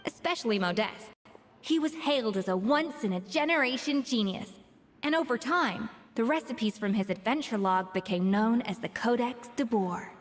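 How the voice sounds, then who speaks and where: A woman's voice: a little high, expressive, moderate pace, in a large hall, a little hiss or hum, natural voice. A woman voicing a composed female head chef who speaks in a matter-of-fact, dryly dismissive way in a game.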